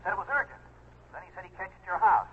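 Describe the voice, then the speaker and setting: A concerned newspaper colleague in a radio drama, brisk and lively with a highish voice. It comes through a thin, raspy telephone line. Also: male voice. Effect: telephone filter. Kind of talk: 1940s radio acting. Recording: thin phone line, old radio recording.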